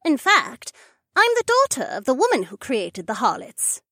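A woman's voice: high voice, wildly swooping pitch, moderate pace, sharp tone, husky, no room sound, clean studio sound, exaggerated voice. A woman playing an earnest, insistent young heiress in audiobook dialogue.

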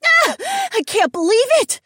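A woman playing an awed, excitable young woman in a fantasy audiobook. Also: extremely high pitch, measured pace, no echo, studio quality, heavily acted. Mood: thrilled.

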